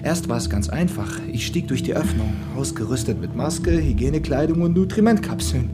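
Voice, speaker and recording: An actor playing a strong, rebellious son in a German radio play, telling of his forbidden escape with deliberate, dramatic emphasis. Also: mid-range voice, lively intonation, natural voice. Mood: determined. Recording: music under the voice.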